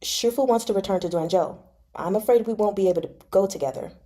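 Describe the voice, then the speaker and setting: Lady reading young official guy in a novel, reserved, dutiful, soft mellow voice. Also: medium pitch, fast talker, lightly acted. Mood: wistful. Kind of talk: expressive audiobook-style novel reading.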